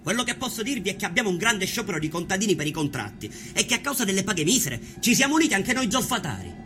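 High-pitched, urgent poor miner. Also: male voice, very animated, fast, bright, forceful tone, faint music behind, exaggerated voice. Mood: aggrieved. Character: poor Sicilian sulphur miner, earnest, aggrieved striker. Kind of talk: dramatised documentary voice acting.

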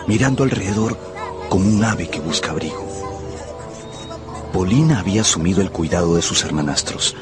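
An actor playing an earnest, lovestruck young man, narrating and speaking in an intimate radio drama. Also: deep voice. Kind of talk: first-person radio drama narration and dialogue.

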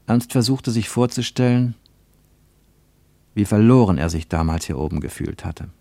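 A man reading scripted literary narration for radio in a measured, solemn manner. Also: on the low side, expressive, gravelly, warm tone, dry recording.

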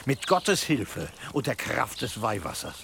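An actor playing a nervous, obsequious cleric in a medieval radio drama, deferential, hesitant and stammering under pressure. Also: male voice, medium pitch, big pitch swings, a little slow, gravelly, a bit bright, slight background noise, full character voice. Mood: eager, hopeful. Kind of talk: German radio drama acting in a medieval setting.

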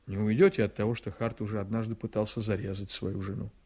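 An actor playing an ambitious, cynical, domineering politician and prosecutor in a radio drama, with a theatrical, emphatic delivery that moves between confiding and fierce. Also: male voice, lowish voice, little pitch movement, fast talker, warm tone. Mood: insistent. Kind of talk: Soviet radio drama acting.

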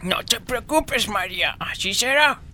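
A man playing a calm, practical, reassuring man with a measured delivery in an amateur radio drama. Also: high voice, a little hiss or hum, heavily acted.

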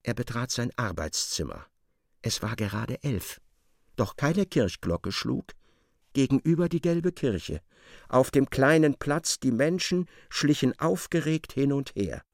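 Male narrator, literary radio play, lively intonation, medium pitch, dry studio sound. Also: unhurried, creaky, no room sound.

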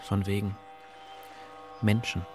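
A man's voice: on the low side, level tone, slow. An actor playing a volatile, embittered man in a stylized radio play, with terse delivery. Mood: curt, bitter. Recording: studio radio drama, faint music.

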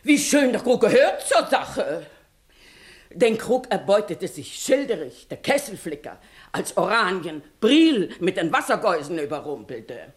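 An actress playing a long-winded, indignant village plaintiff in a classical German comedy radio play, declaiming emphatically and plaintively. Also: female voice, medium pitch, big pitch swings, a little slow. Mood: proud, long-winded.

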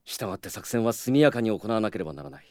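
An actor playing a stern knight commander who speaks formally and with authority in a radio drama. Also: male voice, on the low side, very animated, quick pace, a little mellow, dry recording. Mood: commanding. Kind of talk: Japanese radio drama acting. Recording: dry studio with background music.

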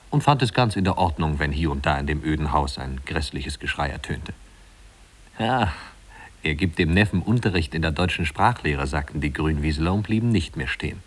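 A man narrating a literary tale as the scripted storyteller of a 1950s radio play. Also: low voice.

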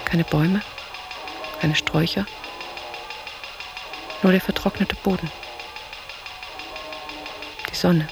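A woman's voice: lowish voice, fairly even intonation, takes it slowly, creaky, long pauses, over music. An actress playing a middle-aged, weary travelling stage woman, speaking an introspective inner monologue in sparse fragments for a radio play. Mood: pensive, desolate. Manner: sparse, fragmentary, reflective phrases.

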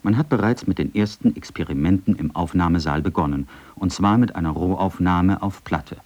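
A man reading the quoted words of a radio director in a measured, explanatory manner. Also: sits low, a little mellow. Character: male radio director quoted from 1930 newspaper article. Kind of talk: scripted quotation reading.

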